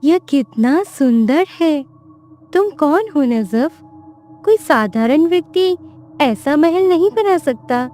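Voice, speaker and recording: A woman's voice: high-pitched, animated, takes it slowly, dark tone, soft background music. A woman voicing a heartbroken, guarded young princess in a fairy tale, plaintive and dramatic. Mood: wondering.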